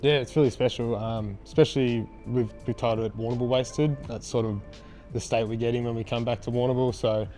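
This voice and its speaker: A young Australian man with a raspy voice, a band frontman answering interview questions in a laid-back, hesitant way with lots of ums. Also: mid-range voice, steady tone, brisk, mellow, faint music behind.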